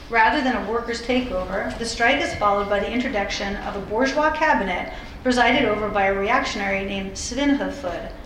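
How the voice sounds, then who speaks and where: A woman giving a scripted history lecture in a measured, articulate way, with the occasional informal aside. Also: fairly high pitch, fairly bright tone. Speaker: lecturer presenting a historical talk. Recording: roomy echo with faint background noise.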